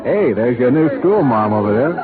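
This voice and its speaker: A man's voice: mid-range voice, sing-song, normal speed, loud music behind the voice, a loud crowd, close to an everyday voice. Man as an easygoing cowhand on tinny radio. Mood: friendly. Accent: American. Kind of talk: 1930s radio western acting. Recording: tinny vintage radio recording.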